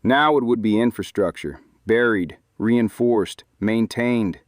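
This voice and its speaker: An actor playing a weary, guarded older ex-activist who talks tersely and sighs heavily. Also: male voice, fairly low pitch, slow, no room sound, studio quality. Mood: grave. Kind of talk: audio drama acting.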